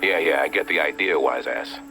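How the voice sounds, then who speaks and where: An actor playing a serious intelligence handler in a video game, briefing over a radio in an urgent, matter-of-fact way. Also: male voice, rumbling low, a little fast, creaky, over music. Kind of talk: video game cutscene acting.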